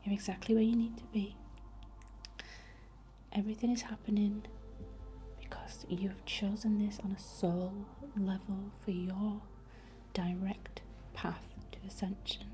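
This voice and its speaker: A British woman whispering in a breathy, soothing, reassuring way, as an ASMR host leading a healing ritual. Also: mid-range voice, flat delivery, unhurried, long pauses, warm tone, faint music behind. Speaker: ASMR host, spiritual healer.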